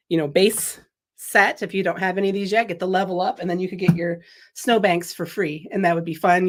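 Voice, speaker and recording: A middle-aged woman hosting a casual craft product livestream, chatty and laughing often, a little sniffly from a cold. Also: fairly low pitch. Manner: chatty, laughs often, reads viewer comments. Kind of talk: casual product demo chat.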